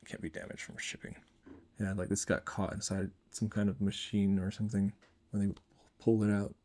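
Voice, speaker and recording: A man's voice: lowish voice, flat delivery, brisk, darkish tone. A man giving casual, unscripted product commentary, thinking aloud in short, informal remarks as a reviewer.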